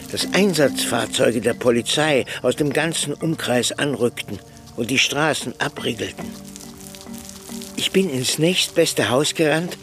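An actor playing a frail, grieving old former clergyman, bitter and lonely, in a radio play. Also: medium pitch. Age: older. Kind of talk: German radio play acting. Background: music.

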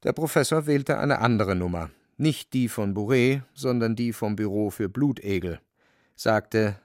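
Ein Mann erzählt als Erzähler eines literarischen Hörspiels in dramatischem Ton. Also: male voice, fairly low pitch, unhurried, dry recording, clean studio sound. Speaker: narrator. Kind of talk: dramatic literary radio play narration.

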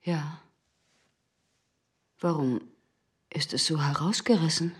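A woman playing a guarded, impassive shopkeeper's wife with a husky voice and terse answers in a radio crime drama. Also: sits low, slow pace, heavily acted. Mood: uneasy. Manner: flat, terse, withholding.

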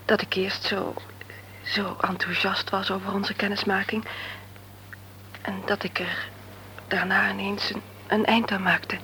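An actress playing a candid woman visiting her aged father in a radio play, with a soft, confiding way of talking. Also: mid-range voice, little pitch movement, a little slow, long pauses, a little hiss or hum, low-quality recording.

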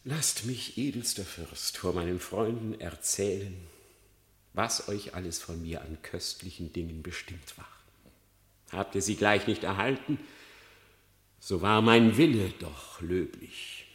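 Cunning fox, radio play, low and bright, roomy echo. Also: male voice, measured pace. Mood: wheedling. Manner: pleading, wheedling, rising to bold defiance. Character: sly cunning male fox on trial.

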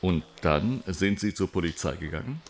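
An actor playing a blunt, sceptical interrogator in a radio drama, putting pointed questions. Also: male voice, low voice, lively intonation, moderate pace, a little hiss or hum. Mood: probing. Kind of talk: German radio drama dialogue.

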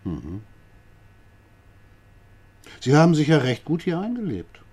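An actor playing a sly, patronising police inspector who questions a prisoner with drawn-out, needling questions in a radio drama. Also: male voice, fairly high pitch, huge pitch swings, a little mellow, breathy edge, exaggerated voice. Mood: mock friendly.

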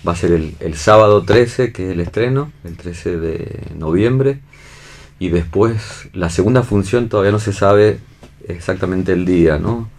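A man's voice: deep voice, unhurried, darkish tone. A man with an Argentine accent, a director explaining his work in casual interview talk, often checking for agreement. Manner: seeks agreement with tag questions. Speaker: theatre and film director being interviewed.

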